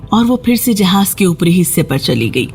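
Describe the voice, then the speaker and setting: A woman's voice: on the low side, sing-song, darkish tone, faint music behind. A woman storyteller giving a scripted narration of a children's fairy tale.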